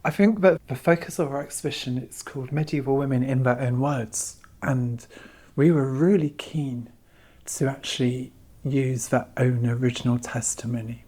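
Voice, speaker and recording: A man talks at a medium pitch as a curator being interviewed. His phrasing is measured and emphatic as he gives informative answers. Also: fairly even intonation, a little mellow.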